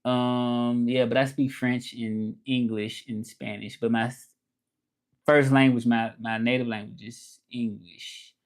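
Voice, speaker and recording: Man, Southern American accent, live-stream host, casual chat, English and French, repetitive, mid-pitched. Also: little pitch movement, unhurried. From Memphis, Tennessee, USA. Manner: repeats phrases, talks straight to viewers, switches languages mid-thought. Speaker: live streamer and language teacher.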